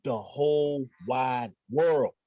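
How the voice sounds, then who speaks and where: An actor at a table read playing a teasing young friend of the family. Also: male voice, mid-range voice, slow pace, dry recording, close to an everyday voice. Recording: dull, dry lo-fi sound.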